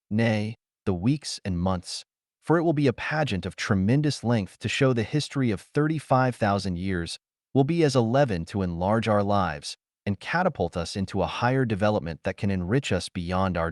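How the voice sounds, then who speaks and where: A man voicing a pompous, excitable show-business boss with a sonorous, grandiose announcing manner, in an audiobook. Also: fairly low pitch, normal speed, dry recording, studio quality, natural voice. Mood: grand, solemn.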